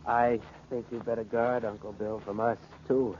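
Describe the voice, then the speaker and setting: A cynical, openly unfriendly nephew played by a man with a mid-pitched voice. He is heard in a dull, faintly hissy 1940s radio play. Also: level tone, moderate pace, darkish tone, lightly acted. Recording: muffled, noisy 1940s radio recording.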